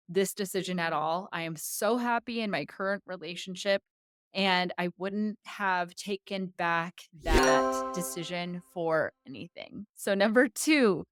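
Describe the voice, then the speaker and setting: Slow-spoken woman in her forties. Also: on the low side, fairly bright tone, no room sound, clean studio sound. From United States. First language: Tagalog. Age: 40s. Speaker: vlogger. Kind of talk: casual personal talk to camera.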